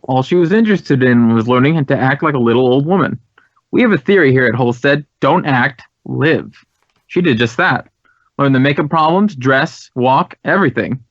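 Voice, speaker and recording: A man's voice: mid-range voice, animated, moderate pace, dry recording. An American man playing an earnest, talkative drama school head in a radio drama, speaking with emphatic, reflective phrasing. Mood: emphatic, admiring. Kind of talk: 1950s radio drama dialogue. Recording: dry, dull vintage radio recording.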